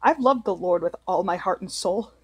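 A woman playing a devout, loving mother in a radio play, speaking in a halting, earnest way. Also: fairly low pitch, expressive, breathy edge, no room sound. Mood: solemn, earnest. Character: devout, loving, remorseful mother of a grown son.

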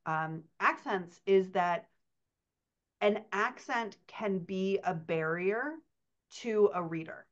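A woman's voice: medium pitch, takes it slowly. A woman in her thirties, a writing coach and editor, chatting on a podcast over a video call, laughing often and telling stories with animation. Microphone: computer mic on video call. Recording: slightly dull lo-fi call audio.

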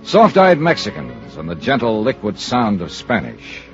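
An actor playing a wry, hard-boiled American insurance investigator in 1950s radio style, with dry, sardonic, clipped delivery. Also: male voice, fairly low pitch, huge pitch swings, moderate pace. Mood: dry narrating. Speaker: radio drama lead and narrator. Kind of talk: 1950s American radio detective acting, clipped first-person narration. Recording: muffled old radio recording, organ music.

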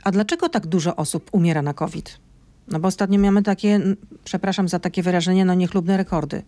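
A woman's voice: lowish voice, fairly even intonation, fast talker, dark tone, no echo. A woman, hosting a radio interview and asking direct, pressing questions. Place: radio studio. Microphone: studio microphone close in front.

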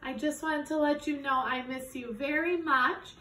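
High, bright animated woman reading to kids. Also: normal speed. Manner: encouraging, prompts children to join in. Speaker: teacher reading aloud to children. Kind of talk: animated picture-book read-aloud. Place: living room at home, indoors. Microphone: phone camera from a few steps away. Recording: roomy echo, distant phone mic, background noise.

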